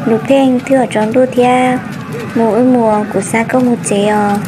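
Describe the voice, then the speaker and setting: A woman's voice: on the high side, steady tone, moderate pace, background noise, lightly acted. A woman voicing an adult woman in a folk-tale cartoon, in plain conversational speech. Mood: talking. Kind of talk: cartoon voice acting. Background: music.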